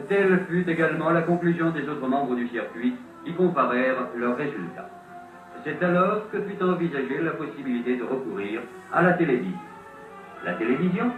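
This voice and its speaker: Tinny vintage male newsreel narrator, animated pitch. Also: moderate pace, faint music behind. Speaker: documentary narrator. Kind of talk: scripted 1950s French newsreel narration. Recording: old tinny band-limited film soundtrack, roomy, distant.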